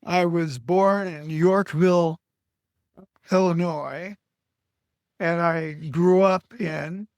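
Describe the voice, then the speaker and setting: An older man from Alaska answering interview questions slowly, pausing often with fillers and short of breath. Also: high-pitched, dry recording, clean studio sound. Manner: halting, with many pauses and fillers. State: short of breath, on supplemental oxygen. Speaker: guest. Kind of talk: slow reflective interview answers.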